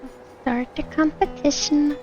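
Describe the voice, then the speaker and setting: A woman's voice: sits high, steady tone, a little slow, a little mellow, soft background music. A woman streamer reacting casually to a game she is playing, with slightly dull sound over game audio.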